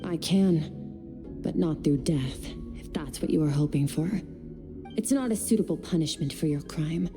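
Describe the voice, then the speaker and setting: An actress voicing an ancient, stern swordswoman who speaks in a measured, solemn way. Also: sits low, lightly acted. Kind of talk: English game dub acting. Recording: faint game music behind.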